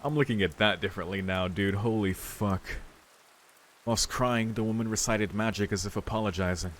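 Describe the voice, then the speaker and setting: Low-voiced man reading along, noisy background. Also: fairly even intonation, normal speed, a bit bright. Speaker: video game streamer reading along. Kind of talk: reading visual novel narration aloud, casual commentary.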